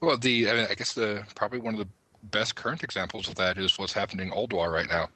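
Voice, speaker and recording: A man's voice: fairly low pitch, steady tone, fast talker, bright, forceful tone, no room sound, low-quality recording. A man speaking as a podcast panelist in a casual discussion of game lore.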